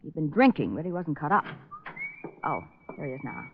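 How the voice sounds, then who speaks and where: Sardonic, flirtatious noir wife. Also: female voice, low voice, big pitch swings, a little fast, noisy background. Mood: cool, wry. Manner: arch, sultry teasing, dry wit. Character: sardonic, flirtatious married woman in noir drama. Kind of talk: old-time radio drama acting. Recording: dull old radio recording.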